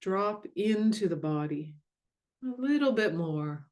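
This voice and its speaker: A woman leading a guided meditation in a gentle, soothing, unhurried way with long pauses. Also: mid-range voice, expressive. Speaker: meditation guide and mindfulness coach.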